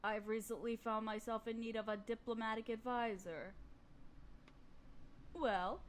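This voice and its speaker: A woman doing an evil sorceress queen, slow and pompous, talks down to you, fantasy roleplay, bit of background noise. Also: fairly high pitch, lightly acted. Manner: formal, pompous, condescending, slow deliberate delivery. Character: imperious evil queen and sorceress, haughty, menacing, dominant. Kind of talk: scripted fantasy audio roleplay addressed to listener.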